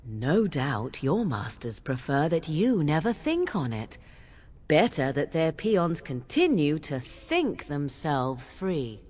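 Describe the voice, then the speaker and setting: Haughty fantasy game witch: very animated, unhurried, mocking, theatrical, long hall reverb. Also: low voice, full character voice. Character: haughty, sharp-tongued witch, a scornful companion.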